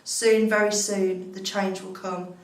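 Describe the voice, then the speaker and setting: A woman reciting poetry in a measured, scripted reading style. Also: medium pitch, level tone. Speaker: poetry reader. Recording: echoey, distant mic, faint noise.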